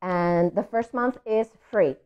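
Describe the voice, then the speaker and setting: A woman hosting a children's story read-aloud, chatting to viewers in an expressive, child-directed way. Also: medium pitch, slow, clear, resonant tone, highs cut off. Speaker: children's story reader and host.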